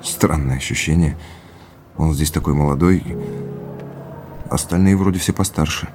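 An earnest young researcher in an audio drama, with a very low voice. He sounds thoughtful and slightly hesitant, turning things over as he talks. Music plays clearly behind him. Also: normal speed. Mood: pensive, absorbed. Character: earnest, reflective young man researching his ancestor.

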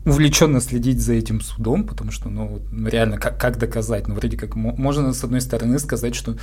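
A man's voice: medium pitch, quick pace, mellow, husky. A man interviewing someone in a casual, conversational way, feeling out his questions informally as he speaks.

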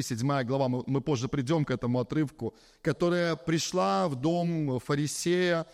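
A man preaching to a congregation in a fairly high voice, pressing his points with firm repetition and direct questions to the listeners. His voice rings with a long reverb from a large church hall. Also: a little mellow. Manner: emphatic, repeats phrases for stress, addresses the congregation directly. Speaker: pastor. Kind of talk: sermon.

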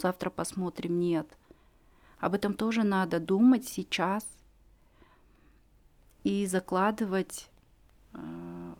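A woman in her forties telling her personal story as a podcast guest, earnest and reflective, pausing often with fillers. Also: mid-range voice, a little mellow.

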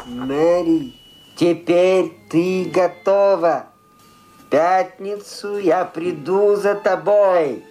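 Very slow, ghostly dead husband. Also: male voice, sits high, mellow, soft background music. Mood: eerie, solemn. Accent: Irish. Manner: slow, drawn-out, eerie intoning. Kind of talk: radio drama acting.

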